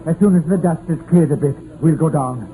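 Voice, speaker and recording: An actor playing a worried, cautious mine superintendent with a hesitant manner, in an old radio drama. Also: male voice, fairly high pitch, a little fast, warm tone, natural voice. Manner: hesitant, repeats phrases. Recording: muffled old radio broadcast, hissy.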